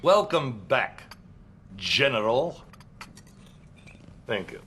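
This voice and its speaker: An actor playing a commanding, scheming middle-aged Soviet premier with a Russian accent in a theatrical, emphatic manner for a video game cutscene. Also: male voice, mid-range voice, sing-song, drawn-out words, a bit bright, slight background noise, exaggerated voice. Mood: grave, warm.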